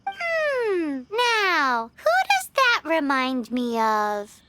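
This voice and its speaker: A woman voicing a tiny floating girl companion in a video game, excitable and speaking of herself in the third person. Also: very high voice, extremely slow, very noisy, heavily acted. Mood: chirpy curious. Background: game music.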